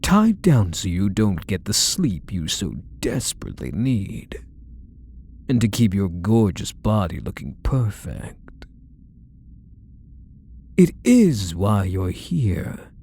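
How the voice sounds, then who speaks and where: A man playing a possessive, controlling kidnapper husband in an ASMR roleplay, deliberate and menacing, with condescending endearments. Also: on the low side, big pitch swings, a little mellow, breathy edge, full character voice. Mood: possessive, smug. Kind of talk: scripted ASMR roleplay, addressing the listener.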